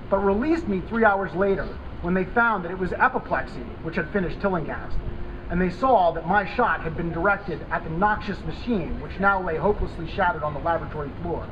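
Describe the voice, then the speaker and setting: A man with a high-pitched voice reads a literary horror tale aloud with drama. He is recorded live at a public reading. The sound is dull and clearly noisy. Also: brisk. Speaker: story reader. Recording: dull, noisy live event camera audio.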